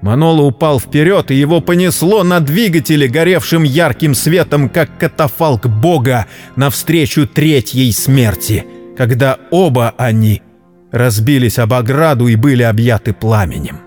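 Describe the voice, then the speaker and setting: A man narrating a scripted story dramatically, in a solemn, measured literary manner. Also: medium pitch, expressive, a little mellow. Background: background music.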